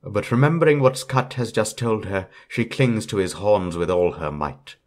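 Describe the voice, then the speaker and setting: A man's voice: on the low side, moderate pace. A man reading a fairytale aloud as a storyteller, in scripted narration.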